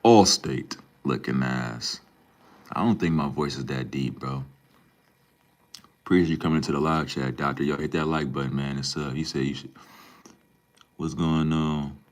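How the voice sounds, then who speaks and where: A man's voice: rumbling low, moderate pace, long pauses. A young man from Minneapolis, livestreaming and chatting casually with viewers, laid-back and full of slang. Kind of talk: casual live stream chat, reading and answering viewer comments.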